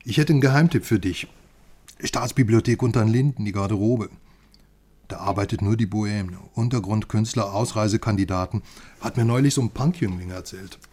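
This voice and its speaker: A man's voice: fairly low pitch, brisk, mellow, lightly acted. An actor playing a chatty, cheeky male friend and curious outsider, teasing and conspiratorial, in a radio crime drama. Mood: eager, persuasive.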